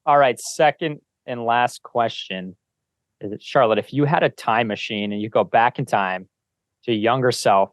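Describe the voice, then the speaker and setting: A man's voice: medium pitch, normal speed, dry recording. A man hosting an interview podcast in a casual, conversational style. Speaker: podcast host.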